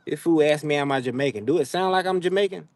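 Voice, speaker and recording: A young man with chats live with his viewers and teases them with loose, animated trash talk. His voice sits a little higher than most men's. The sound is close and dry, with no room echo. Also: fast talker. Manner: playful trash talk, animated, drawling slang. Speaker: livestream host. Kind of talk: casual live chat with viewers. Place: outdoors, frogs nearby.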